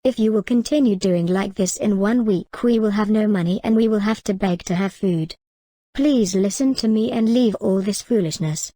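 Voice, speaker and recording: Woman playing a mellow worried village wife. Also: medium pitch, normal speed, dry recording, natural voice. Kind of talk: cartoon moral story dialogue.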